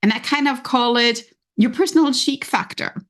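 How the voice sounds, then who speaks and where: A woman's voice: fairly high pitch, normal speed, fairly bright tone. Encouraging German-accented woman podcaster. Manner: encouraging, conversational, acts out inner thoughts. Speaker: podcast host and coach. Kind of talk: solo motivational podcast talk.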